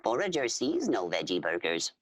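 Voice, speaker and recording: A woman playing an eager alien storyteller who narrates adventure formally and dramatically, with a husky voice and spoken sound effects. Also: extremely low pitch, very animated, moderate pace, no echo, heavily acted. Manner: formal, repeats full names instead of pronouns.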